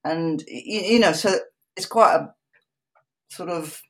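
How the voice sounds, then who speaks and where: A woman with an English accent speaking reflectively about her own life as an interview guest, haltingly, repeating words as she thinks. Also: on the low side. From England, in a Polish family. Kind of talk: reflective personal conversation.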